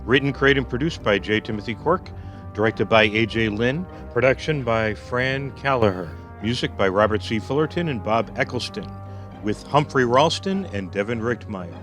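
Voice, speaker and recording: A man's voice: mid-range voice, lively intonation, moderate pace, a bit bright. Male credits announcer over faint music. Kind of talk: scripted radio credits announcement.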